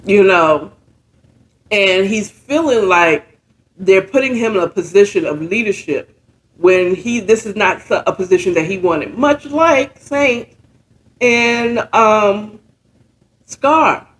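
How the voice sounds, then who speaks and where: A woman informally retelling a story in a halting, conversational way, often checking in with her listeners. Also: medium pitch, poor audio. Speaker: storyteller retelling a book chapter.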